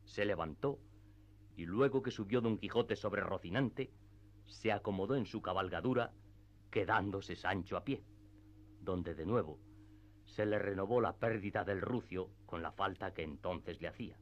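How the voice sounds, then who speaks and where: Male narrator, lowish pitch, scripted literary storytelling, radio theatre, dull lo-fi recording. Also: normal speed.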